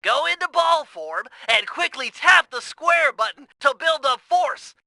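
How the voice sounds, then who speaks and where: A man's voice: very high voice, lively intonation, unhurried, bright, forceful tone, no room sound, exaggerated voice. A man voicing a brainy, cautious young turtle strategist in a cartoon video game, with a thin, nerdy voice and precise, wordy, instructional delivery. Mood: precise, instructive. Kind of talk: video game mission briefing and tutorial voice acting.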